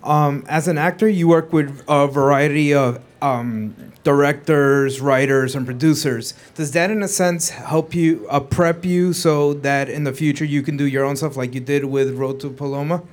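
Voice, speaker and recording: A man in the audience asking a question at a Q&A in a measured way, with pauses. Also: on the high side, fairly even intonation.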